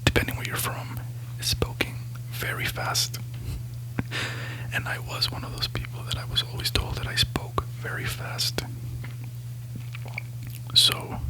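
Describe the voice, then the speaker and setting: A native Spanish speaker whispering a rambling ASMR book haul, sleepy and often correcting themselves. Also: poor audio. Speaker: ASMR host.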